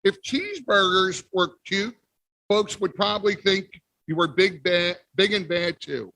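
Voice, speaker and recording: Guy reading a kids storybook, high bright voice, dry sound, playful. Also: animated, vocal fry, no room sound. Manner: playful, exaggerated sneezes and storyteller pauses. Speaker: guest reader. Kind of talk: reading a picture book aloud in the wolf's first person. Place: library event.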